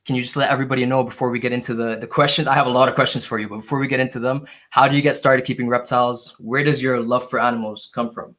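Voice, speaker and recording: A man's voice: medium pitch, steady tone, rapid-fire, few pauses, fairly bright tone. A man hosting a casual interview, speaking informally and with enthusiasm. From Canada. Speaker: podcast host.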